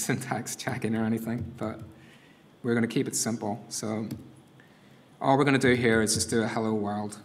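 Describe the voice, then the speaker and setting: A middle-aged man with a non-North American accent talks through a live coding demo, casual and halting. His voice sits at a mid pitch with very little rise and fall. Light noise hangs behind him at the conference lectern mic. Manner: casual, halting, many fillers and restarts. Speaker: conference workshop presenter. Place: conference room.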